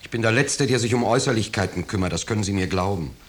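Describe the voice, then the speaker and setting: An actor as a pompous, deep-voiced bourgeois gentleman. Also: quick pace, natural voice. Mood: self-righteous. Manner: fussily polite, hedging, self-righteous. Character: pompous middle-aged bourgeois businessman, flustered, falsely genial host. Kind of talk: 1950s German radio drama acting. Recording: noisy, clicking 1950s radio recording.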